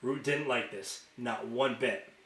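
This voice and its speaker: A man reading a novel aloud as an amateur narrator, expressive and informal, sometimes stumbling and correcting himself. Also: mid-range voice, takes it slowly, a little hiss or hum. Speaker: amateur audiobook reader. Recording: roomy, echoing home recording.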